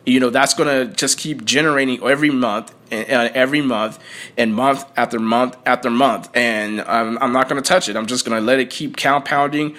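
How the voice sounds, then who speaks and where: A man's voice: mid-range voice, fairly even intonation, normal speed, fairly bright tone. Rambling young man talking investing. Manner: rambling, many fillers and restarts. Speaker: personal finance vlogger. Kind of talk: casual unscripted talk to viewers.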